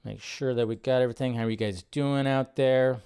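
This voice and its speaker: A man's voice: mid-range voice, steady tone, fairly quick, darkish tone, clear, resonant tone. A man hosting a live movie news stream, talking casually in a loose, rambling way with lots of fillers.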